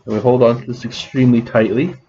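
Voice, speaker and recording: A man's voice: medium pitch, steady tone, fast, warm tone, dull audio. A man with a scratchy voice, rambling through a casual vlog with many restarts and fillers. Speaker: vlogger.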